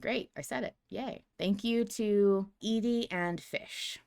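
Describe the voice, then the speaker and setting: A woman's voice: mid-range voice, slow, gravelly, a little mellow, smooth. A woman co-hosting a live fan podcast, chatting casually with lots of fillers and restarts, and sometimes reading notes aloud. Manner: chatty, self-deprecating, many fillers and restarts. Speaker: podcast co-host. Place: home setup on a live stream.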